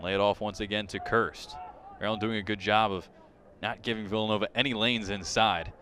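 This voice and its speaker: A man calling live sports play-by-play as a broadcast commentator, lifting his excitement when the action peaks. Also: lowish voice, fairly quick, a little hiss or hum. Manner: excitement rises sharply on big plays.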